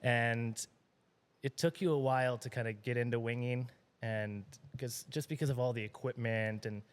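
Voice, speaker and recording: A man's voice: medium pitch, steady tone, moderate pace, smooth. A man hosting a podcast, asking questions in a casual, friendly, conversational way. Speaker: podcast host. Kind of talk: casual interview.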